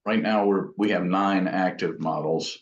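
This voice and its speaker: A man with a fairly low voice speaks in a casual interview as a craftsman who builds gear. He is thoughtful and halting, working through technical detail in an informal way. The sound is dull and lo-fi, with a strong room echo. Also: fairly even intonation. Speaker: amp builder and company founder being interviewed.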